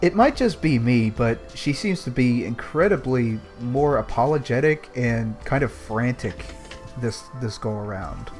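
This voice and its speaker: A man's voice: medium pitch, expressive, unhurried, darkish tone. Man chatting casually over faint music. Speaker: podcast panelist. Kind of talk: casual chat about a visual novel.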